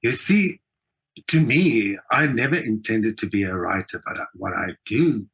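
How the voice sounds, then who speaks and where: Older South African man, slightly husky. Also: on the low side, expressive, unhurried, a bit bright. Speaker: interview guest, writer and performer. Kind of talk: casual reflective storytelling in an interview.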